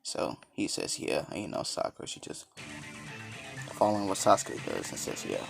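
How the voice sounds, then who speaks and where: A man with a raspy, just-woken-up voice telling a fan story in a casual, rambling way. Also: lowish voice, animated, normal speed. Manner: rambling, laid-back, lots of fillers. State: just woke up, dry voice. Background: background music.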